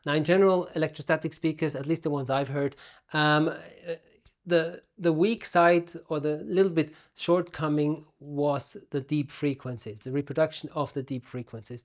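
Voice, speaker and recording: Guy with a slightly high voice reviewing hifi gear, explains it step by step, deliberate with pauses. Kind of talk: explanatory product review talk. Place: room at home.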